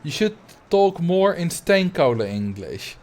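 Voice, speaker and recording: A man's voice: a little high, big pitch swings, measured pace, darkish tone. A man from the Netherlands with a noticeable but mild Dutch accent, a native Dutch speaker chatting casually and in a rambling way as he streams a game. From Netherlands, grew up in Drenthe. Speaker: video game livestreamer.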